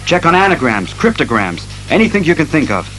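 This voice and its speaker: Stern masked detective hero, sharp bright voice, explains things deliberately, superhero audio drama, bit of music under. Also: male voice, a little high, big pitch swings, poor audio. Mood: analytical. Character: stern, analytical masked male crime-fighting detective. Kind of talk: superhero radio drama acting.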